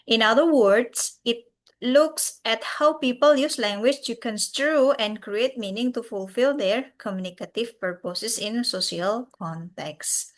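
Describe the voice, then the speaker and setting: Slow Javanese-accented woman lecturing. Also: a little high. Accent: Javanese-accented English. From Central Java, Indonesia. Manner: deliberate, with frequent "uh" and "yeah", addresses class as everyone. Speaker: university lecturer. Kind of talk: recorded video lecture.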